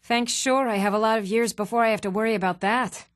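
A woman's voice: a little high, fairly even intonation, fast, no room sound, clean studio sound, natural voice. A woman as a plain-spoken fantasy village woman. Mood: conversational, firm. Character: plain-spoken, hardworking village woman, firm motherly townswoman. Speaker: video game voice actor. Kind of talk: scripted fantasy game dialogue.

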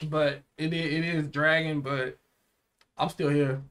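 A man's voice: fairly high pitch, little pitch movement, a little slow, fairly bright tone, clear, resonant tone. A young man chatting casually as a reaction show host, using slang and repeating phrases for emphasis. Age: young adult. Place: small room indoors, seated.

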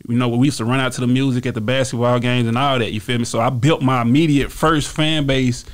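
A man in his thirties with a Texas accent, a guest rapper talking in a relaxed, rambling way with lots of "you feel me" in a small studio on a stand mic. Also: medium pitch, quick pace. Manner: relaxed, repeats phrases and fillers, gestures while talking. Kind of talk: casual interview storytelling. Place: small podcast studio indoors. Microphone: studio mic on stand with headphones.

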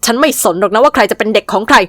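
A woman's voice: mid-range voice, expressive, brisk, fairly bright tone, no room sound, clean studio sound, natural voice. A woman reading a Thai novel aloud, voicing a stern, authoritative female department supervisor who scolds her staff. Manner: firm, scolding.

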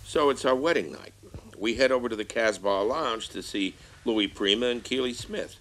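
Actor as bright, brash husband, breezy and defensive, drama monologue. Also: male voice, medium pitch, moderate pace, close to an everyday voice, poor audio. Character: brash, reckless showbiz husband. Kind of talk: scripted audio drama monologue.

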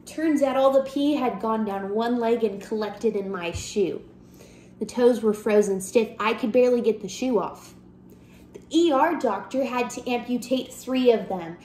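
A woman's voice: on the high side, measured pace, a little mellow, close to an everyday voice. A woman playing a bitter, self-mocking character in a wry, conversational stage monologue. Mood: wry, rueful. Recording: roomy echo with faint background noise.

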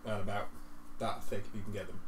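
A man's voice: on the low side, level tone, fast talker. A man talking casually as a player in a tabletop roleplaying game. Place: small living room, group around coffee table. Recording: noisy room, open mic.

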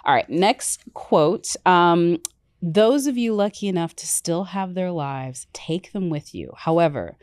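A woman's voice: lowish voice, expressive, a little slow, clean tone, dry recording. A woman hosting an interview, chatting casually, affirming her guest with lots of "yeah", on a podcast mic in a studio. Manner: warm, affirming, frequent "yeah" backchannel. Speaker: host. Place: dark studio set, seated in armchair. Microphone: podcast mic on boom arm.

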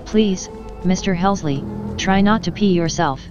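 An actress playing a pedantic, blunt, unflappable scientist investigator in an audio drama, precise and deadpan. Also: female voice, lowish voice, unhurried, dull audio, over music, close to an everyday voice. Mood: snide.

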